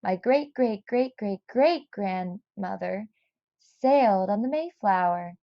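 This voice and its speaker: A woman's voice: mid-range voice, expressive, slow pace, vocal fry, dull audio. A woman voicing a dreamy, determined male rat in a storybook, earnest and stubborn. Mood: earnest longing.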